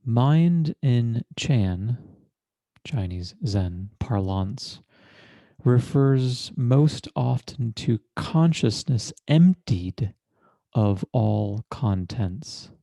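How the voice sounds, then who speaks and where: A man leading a guided meditation as a teacher, speaking in a deliberate, measured way with long pauses and formal, precise wording. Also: medium pitch, lively intonation, vocal fry, warm tone, no room sound.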